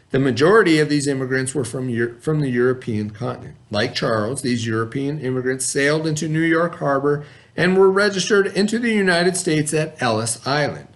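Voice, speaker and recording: A man teaching young children, reading a story aloud and then reviewing it with patient questions. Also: on the high side.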